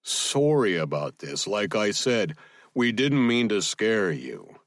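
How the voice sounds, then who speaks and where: A man's voice: medium pitch, animated, slow pace, no room sound, studio quality, pitched above the performer's own voice. A man voicing a tough operative in an audiobook who bluffs as a friendly, apologetic, folksy tourist.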